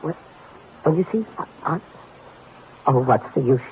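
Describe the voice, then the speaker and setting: A fretful little man of about fifty in an old radio drama. He is timid and stammers hesitantly in a slightly high voice. The recording is thin and faintly noisy. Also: lively intonation, unhurried, phone-quality sound. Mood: flustered, despairing. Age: 50s. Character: timid, fretful little middle-aged male client.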